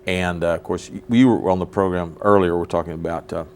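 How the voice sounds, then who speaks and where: A man hosting a local TV chat in a very low voice. He talks in a chatty way, stumbling and repeating words while he looks for the right one. A faint hiss sits under the studio recording. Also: little pitch movement, a little fast, a little mellow. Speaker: host. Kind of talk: casual interview.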